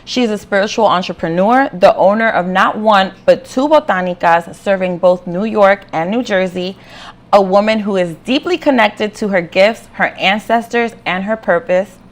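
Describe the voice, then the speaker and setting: A woman hosts a podcast in a casual, chatty style. Her speech is informal and slangy, dotted with Spanish phrases, at a middling pitch. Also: normal speed. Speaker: podcast host. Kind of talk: casual conversational interview.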